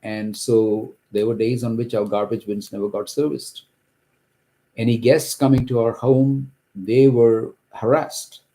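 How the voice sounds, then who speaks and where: A middle-aged man from Kerala, India, who grew up speaking Malayalam, gives an earnest, halting account of his own story from his home office. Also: mid-range voice, level tone, mellow, rough recording. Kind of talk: personal testimony to camera.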